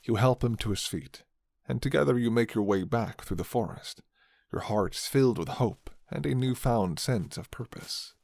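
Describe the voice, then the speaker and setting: A man narrating a scripted fantasy story in the second person, with measured, dramatic storytelling. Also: medium pitch, vocal fry, a little mellow.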